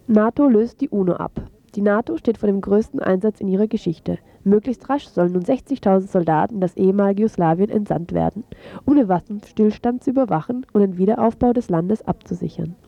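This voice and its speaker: A woman's voice: mid-range voice, fairly quick, gravelly, no room sound, highs cut off. A woman radio presenter reading a scripted press review.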